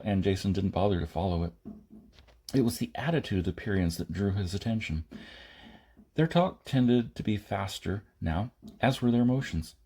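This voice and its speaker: A man reading a science fiction novel aloud as an amateur audiobook narrator, in short phrases with pauses and the odd stumble. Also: lowish voice, warm tone, slightly rough. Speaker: audiobook reader.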